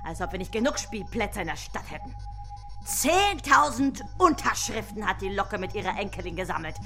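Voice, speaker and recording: A woman's voice: mid-range voice, expressive, normal speed, pushed and bright, soft background music. A woman playing a ruthless, pompous business boss, the haughty and scolding villain of a German children's radio drama. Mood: haughty, irritated.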